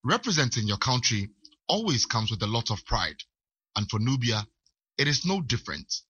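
A man narrating a sports news report in a measured way. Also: mid-range voice, sing-song, sharp tone, dull audio.

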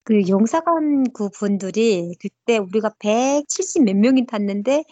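A woman's voice: medium pitch, animated, a little slow, dark tone, no room sound. A woman in her thirties from North Korea, with a North Korean accent, telling her own story in an interview with many fillers and restarts. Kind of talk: personal recollection in an interview. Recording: dull lo-fi dry recording.

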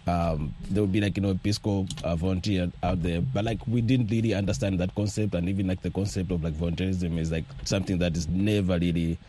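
A man's voice: deep voice, fairly even intonation, fast talker, hardly any pauses, mellow, slight background noise. A man answering casually as a studio interview guest, hesitant and heavy on filler words. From rural Malawi. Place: radio studio.

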